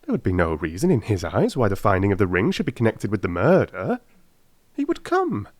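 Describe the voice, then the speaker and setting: A man's voice: on the low side, huge pitch swings, fairly quick, a little mellow, no echo. A man voicing a brilliant, confident detective in a dramatised audiobook, with theatrical, emphatic delivery. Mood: excited, emphatic. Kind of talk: dramatised audiobook character acting.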